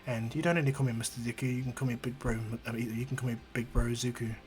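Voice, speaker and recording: A man voicing a gentle, protective young male hero, speaking softly and reassuringly in an amateur story. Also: medium pitch, steady tone, faint music behind, natural voice. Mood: tender. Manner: soft, reassuring, measured. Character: protective teenage boy hero, gentle but powerful. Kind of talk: amateur fan-fiction storytelling.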